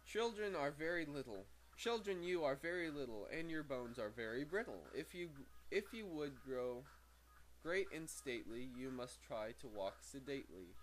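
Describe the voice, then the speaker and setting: A man's voice: mid-range voice, a little hiss or hum, low-quality recording. A man reading aloud from a book as an amateur narrator, stumbling over words, correcting himself and dropping in casual asides. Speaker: amateur audiobook reader.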